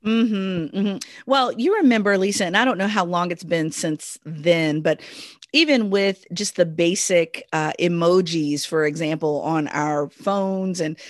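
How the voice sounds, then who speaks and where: A woman's voice: lowish voice, unhurried, no room sound, studio quality. A woman co-hosting a casual conversation, thoughtful and questioning, talking things through. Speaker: podcast co-host.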